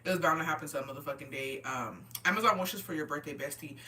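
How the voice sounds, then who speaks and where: Bright-voiced young woman, tipsy and slangy. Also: low-pitched, fast talker, a little hiss or hum. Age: 20s. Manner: slangy, swearing, with Spanish words mixed in. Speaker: livestream host chatting with viewers. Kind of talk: casual livestream chat, reading and answering comments. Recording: voice distant from the microphone.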